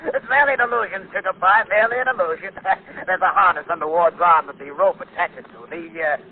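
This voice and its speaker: Man playing a high-pitched, showy Hollywood director. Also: quick pace, natural voice. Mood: smug. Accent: American. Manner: theatrical, slangy, chuckling showman. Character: flamboyant, boastful washed-up Hollywood comedy director, eccentric man. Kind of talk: 1940s radio acting. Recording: tinny, noisy old 1940s radio broadcast.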